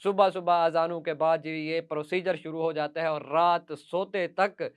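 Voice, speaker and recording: A man's voice: a little high, fairly even intonation, moderate pace, clean tone. A man with a Pakistani accent presenting news commentary straight to viewers, with emphatic, repetitive listing.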